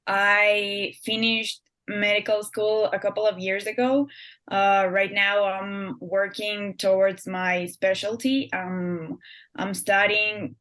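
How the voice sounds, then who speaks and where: A woman's voice: mid-range voice, flat delivery, takes it slowly, a bit bright. A young woman from Ecuador, a guest author answering interview questions in a chatty way, with many fillers and restarted words.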